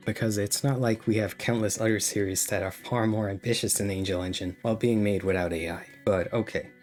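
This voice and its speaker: A man's voice: lowish voice, a little mellow. A man narrating a scripted video essay as a commentator, critical and sarcastic in tone. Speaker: YouTube commentator.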